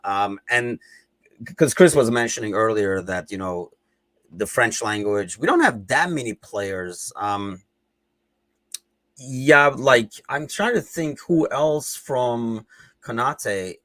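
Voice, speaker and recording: A man's voice: mid-range voice, expressive, takes it slowly, a bit bright, no echo. A man with a Turkish accent, speaking English as a second language, hosting a casual football chat in a rambling, conversational way full of fillers. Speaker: podcast host.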